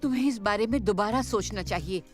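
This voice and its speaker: A woman voicing a gentle, concerned middle-aged mother in a Hindi cartoon dub. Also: mid-range voice, a little fast, natural voice. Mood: worried. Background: music.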